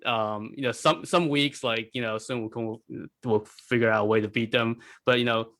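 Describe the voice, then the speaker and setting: Man in casual, halting discussion. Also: medium pitch, fairly even intonation, fairly bright tone. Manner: halting, peppered with "like" and "you know". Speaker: podcast panelist.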